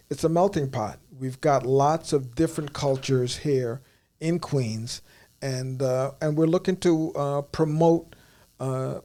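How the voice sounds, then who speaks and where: A man's voice: on the high side, dark tone. A man co-hosting a studio talk show, asking questions with many hesitant fillers. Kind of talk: casual studio interview.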